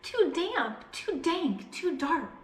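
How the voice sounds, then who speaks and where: A woman voicing a tiny squeaky storybook mouse, timid yet soothing, speaking in short simple bursts. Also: sing-song, slow pace, roomy sound, heavily acted. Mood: timid, complaining.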